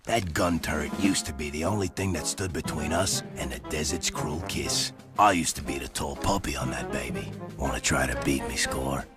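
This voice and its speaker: An actor playing a gruff, boastful male desert gunner who taunts in folksy slang, in a video game. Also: rumbling low, moderate pace, few pauses, loud music behind the voice. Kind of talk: video game character acting.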